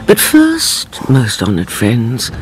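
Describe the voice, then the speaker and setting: An actress playing the Count's devoted companion, narrating a radio drama in a grave, formal, theatrical way. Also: female voice, extremely low pitch, wildly swooping pitch, takes it slowly, heavily acted. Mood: solemn. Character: older woman narrator, the Count's devoted companion, solemn and impassioned. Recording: music behind the voice. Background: dramatic music.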